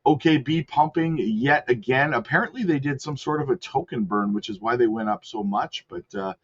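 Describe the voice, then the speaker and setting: A man with a cold, host of a channel, casually talking through market charts and hedging his calls, clearing his throat now and then. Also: mid-range voice, moderate pace. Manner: rattles off lists, chatty and hedging. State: sick with a cold. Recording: slightly dull lo-fi audio.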